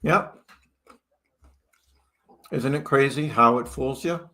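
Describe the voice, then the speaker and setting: Guy from chicago chatting on a livestream, bright forward voice, greets people by name. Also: mid-range voice, slow. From Chicago, United States. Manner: chatty, greets viewers by name, laughs often. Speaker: crypto livestream host. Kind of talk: casual live chat reading viewer comments.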